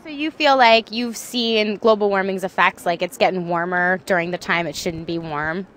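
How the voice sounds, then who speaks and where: Bright-voiced woman doing street interviews. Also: mid-range voice, normal speed. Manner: prompting, short encouraging replies. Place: city street outdoors.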